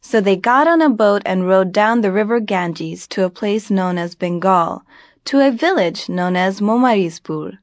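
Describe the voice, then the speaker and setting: A woman with an American accent tells a folk tale as an expressive storyteller, in a deliberate, dramatic manner with phrases drawn out. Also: mid-range voice, no echo. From grew up in Virginia, United States.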